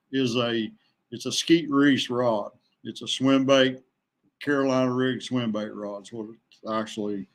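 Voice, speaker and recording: A man in his sixties chatting casually as a podcast guest, folksy and halting, often repeating himself. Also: medium pitch. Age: 60s. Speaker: guest, veteran kayak angler. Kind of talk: casual fishing chat.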